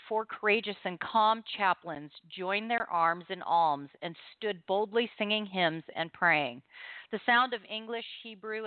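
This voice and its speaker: A woman's voice: fairly low pitch, smooth, dry recording, phone-quality sound. A woman hosting a radio show, talking casually and reading aloud in a studio.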